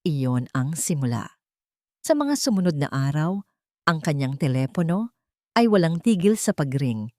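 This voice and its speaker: A Filipino woman narrates a dramatic love story from a script. Her voice sits a little low for a woman, and the sound is dry, with very little room around it. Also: very animated, unhurried, pauses often, dark tone, no echo.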